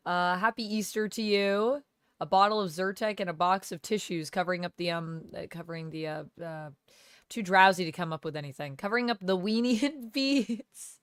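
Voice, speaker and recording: A woman streamer under the weather with a cold, talking casually with a gravelly, smoky voice as she chats with viewers and thanks them by name. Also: fairly low pitch, normal speed, no room sound, clean studio sound. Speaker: live streamer. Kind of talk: casual live-stream chat, reading viewer comments. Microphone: gaming headset.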